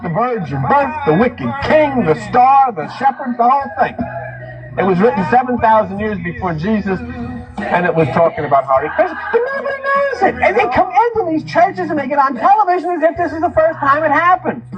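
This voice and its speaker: Male lecturer, high register, impassioned and emphatic delivery with repeated phrases, music underneath. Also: sing-song, brisk, highs cut off. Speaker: lecturer on religion and mysticism. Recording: speech mixed over music.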